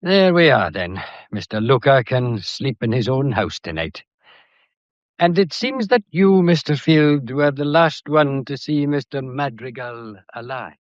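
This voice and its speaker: A slightly muffled, dry recording of a radio mystery. an avuncular older police inspector, folksy and fussy, whose lively melody keeps probing under the geniality. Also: male voice, mid-range voice, a little slow, no echo. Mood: shrewd, satisfied. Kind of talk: radio drama acting.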